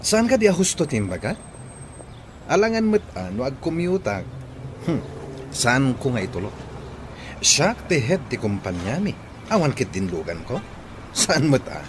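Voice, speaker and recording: A man's voice: medium pitch, very animated, a little slow, pauses often, slight background noise. A man playing a boastful, arrogant rich company boss in an Ilocano audio drama, haughty and taunting. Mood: smug, taunting.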